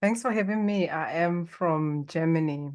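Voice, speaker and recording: A woman from Botswana whose first language is Setswana, a guest on a podcast who speaks in a calm, reflective and inviting way. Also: low-pitched, a little slow. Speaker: guest, life coach. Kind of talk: podcast interview.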